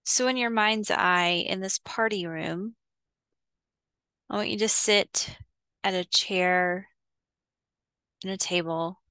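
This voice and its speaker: A woman guides a visualization at a slow, unhurried pace. Her voice is smooth and clear, at a medium pitch. She gives soothing instructions in short phrases with pauses between them. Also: sharp tone, no room sound. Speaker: guided meditation host. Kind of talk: guided visualization, meditation narration.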